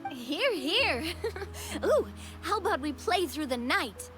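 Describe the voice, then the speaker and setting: A woman's voice: high-pitched, big pitch swings, slow, music in the background, full character voice. An actress voicing a bubbly but wary young woman in a video game.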